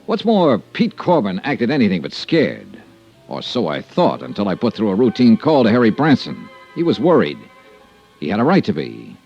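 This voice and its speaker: An actor playing a skeptical, wry private investigator in a 1950s radio detective drama, with a dry, hard-boiled delivery. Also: male voice, lowish voice, wildly swooping pitch, normal speed, full character voice. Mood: brooding narration. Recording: dull old radio recording, music.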